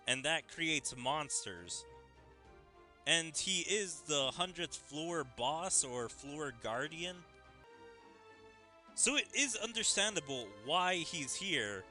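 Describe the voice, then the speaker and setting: A man giving commentary on a game story in an animated way with drawn-out phrasing. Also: a little high. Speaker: gaming content creator. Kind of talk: game story commentary and dialogue read-aloud.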